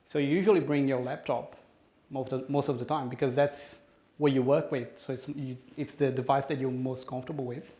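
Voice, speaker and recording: A man's voice: medium pitch, steady tone, fairly quick, dark tone. A man in his thirties with a Brazilian accent, answering audience questions after his conference talk, with frequent ums and restarts. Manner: hesitant, many restarts and ums. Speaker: conference speaker. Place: conference room.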